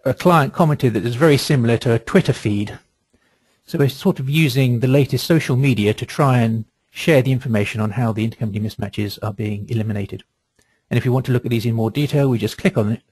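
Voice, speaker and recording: Man, webinar presenter, slightly rough husky voice, dry close sound, methodical step-by-step software demonstration. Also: mid-range voice, dry recording. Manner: methodical, measured step-by-step explaining. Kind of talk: software demonstration, explanatory walkthrough.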